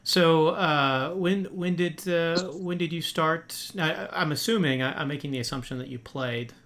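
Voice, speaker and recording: A man's voice: on the high side, normal speed, fairly bright tone. A man hosting a podcast interview in a friendly, casual way, with many fillers and restarts. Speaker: podcast host.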